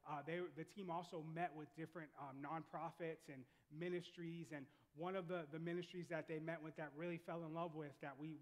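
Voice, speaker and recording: Enthusiastic pastor in a big hall. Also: male voice, a little high. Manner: enthusiastic, repeats words, warm affirmations. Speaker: pastor interviewing a guest. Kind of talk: church stage interview. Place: church sanctuary.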